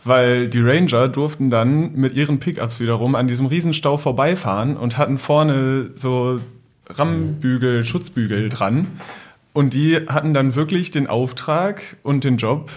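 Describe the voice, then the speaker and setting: A young man talks casually as a podcast guest, looking back on a trip. His pitch is average for a man, and there is faint background noise on the recording. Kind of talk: casual reminiscing.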